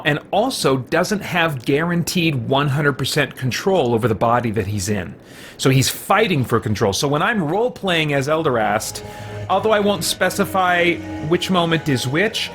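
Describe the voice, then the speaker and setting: Male livestream gamer, higher-pitched, casual commentary, rambling explanations, faint music behind. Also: a bit bright.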